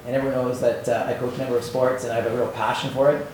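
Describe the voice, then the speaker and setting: A man with a medium-pitched, slightly hoarse voice gives an informal talk as a PE teacher. He sounds some way from the mic in a very echoey space. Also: level tone, racing, darkish tone. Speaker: PE department head, teacher and coach. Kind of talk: informal course presentation to a school assembly. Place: large echoey school gathering space. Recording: echoey hall, distant, faint background noise.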